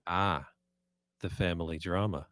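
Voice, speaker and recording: A man's voice: sits low, measured pace, fairly bright tone, dry recording, clean studio sound. A man playing a flirty, nosy woman bartender with a casual, probing manner in a screenplay read. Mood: curious.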